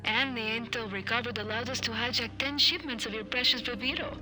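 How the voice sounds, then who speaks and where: Woman acting a high, defiant rebel leader. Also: fairly quick, music in the background, natural voice, low-quality recording. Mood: taunting. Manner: mocking, defiant. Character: defiant, taunting female guerrilla leader. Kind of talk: cinematic video game acting.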